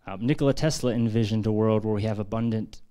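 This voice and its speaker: A man's voice: on the low side, quick pace, mellow. An American man giving a casual, earnest talk at a book launch in a press room, with frequent fillers. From United States. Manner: earnest, conversational with many fillers. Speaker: author presenting his book. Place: press centre conference room.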